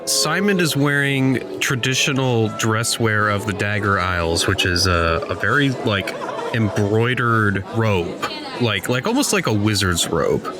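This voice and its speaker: A man's voice: on the low side, animated, a little slow. A man improvising casually as a tabletop game player, rambling and hesitant as he talks. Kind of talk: casual improvised role-play chat. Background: background music.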